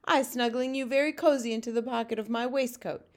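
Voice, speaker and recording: A woman voicing a gentle, friendly giant man with mangled folksy grammar and an earnest manner, as in a storybook read-aloud. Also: on the high side, steady tone, quick pace, pitched above the performer's own voice, close to an everyday voice. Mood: kindly.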